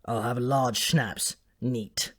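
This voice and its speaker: A man's voice: medium pitch, steady tone, slow. A man voicing a grumpy, sneering dwarf prince from myth, dry and complaining, in a storybook. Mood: grumbling.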